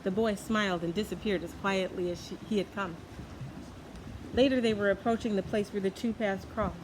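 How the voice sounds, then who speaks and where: A woman's voice: mid-range voice, fairly even intonation, normal speed. Woman reading a storybook over background noise. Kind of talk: children's storybook narration. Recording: clearly noisy background.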